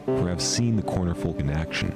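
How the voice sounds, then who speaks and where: A man playing an obsessed researcher, narrating analog horror in a measured, formal, clinical way. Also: sits low, creaky, music in the background. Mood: clinical, eerie. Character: obsessed male researcher documenting otherdimensional creatures at home.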